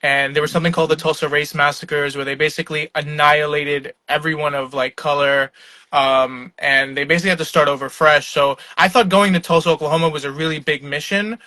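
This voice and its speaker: A young man from New York speaking on a live video call, his voice bright and forceful, a little higher than most men's. He talks in a relaxed, rambling way, full of "um" and "like," and laughs now and then. The sound is dry and close. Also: brisk, no room sound. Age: young adult. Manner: laid-back, filler-heavy, laughs often. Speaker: startup founder, guest. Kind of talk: casual conversational interview. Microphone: online live video call.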